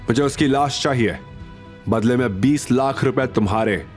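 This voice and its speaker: A man's voice: mid-range voice, normal speed, soft background music, close to an everyday voice. A man voicing a spoiled, vengeful young nephew with a sly, hesitant way of talking in an audio drama. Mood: cold, vengeful.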